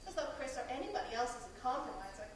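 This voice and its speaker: A distant, echoey stage recording with some hiss carries an actress as a bitter, sharp-tongued neighbor wife. Her voice is high and theatrical, sweetly condescending and needling. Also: very animated, fast talker. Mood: confiding. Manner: condescending, wry, needling with sugary endearments. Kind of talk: theatrical stage play acting. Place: theater stage, captured from far off.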